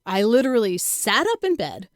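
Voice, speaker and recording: A woman reading her own first-person comic story in a conversational, wry, expressive and self-mocking way. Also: medium pitch, normal speed, no echo, studio quality. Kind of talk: scripted first-person comedic narration.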